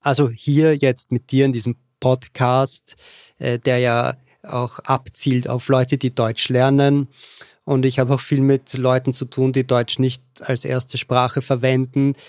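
An Austrian man with a mid-pitched voice talks as a podcast guest. He explains things casually, in careful, deliberate High German that keeps his accent. The sound is very dry. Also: flat delivery, darkish tone, no echo.

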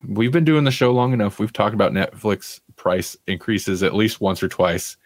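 A man with a fairly low voice chats casually as a podcast host. His delivery is wry and conversational, and the sound is dry, with very little room in it. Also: normal speed, no room sound. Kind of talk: casual pop culture chat.

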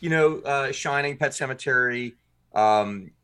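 A man's voice: medium pitch, measured pace, fairly bright tone, clean tone. A man guest on a podcast, a writer and producer, chatting casually and rambling with many fillers and restarts.

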